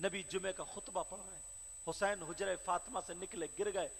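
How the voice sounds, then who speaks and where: A man preaching a devotional sermon, telling the story with impassioned shouting and chanted, sing-song phrases. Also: high-pitched, steady tone, vocal fry, slight background noise. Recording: reverberant hall with faint crowd.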